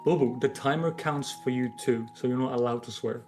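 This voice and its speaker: A man's voice: mid-range voice, brisk, a little mellow. Non-native English-speaking man, mid-range voice, teasing stream co-host, light music bed. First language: not English. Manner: playful, teasing, blunt. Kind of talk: casual livestream chat.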